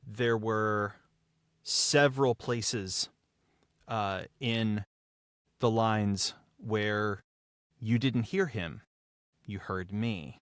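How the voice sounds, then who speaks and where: A man speaking as a podcast guest, an audio drama writer and actor reflecting on his work with emphatic, dramatic phrasing and deliberate pauses. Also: on the low side, dry recording, studio quality. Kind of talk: reflective behind-the-scenes discussion.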